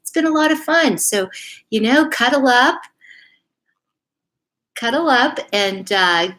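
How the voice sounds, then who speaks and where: A woman's voice: fairly high pitch, animated, takes it slowly, fairly bright tone. A middle-aged or older woman chatting casually to young children and reading them a bedtime story, encouraging and speaking to them directly. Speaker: elementary school principal or staff storyteller. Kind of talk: casual live chat and children's bedtime story read-aloud.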